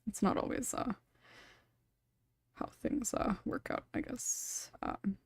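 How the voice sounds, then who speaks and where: A woman's voice: on the high side, slow, a little mellow, husky, dry recording. A woman hosting a casual podcast, rambling and interrupting herself, with small chuckles between thoughts. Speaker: podcast host and script writer.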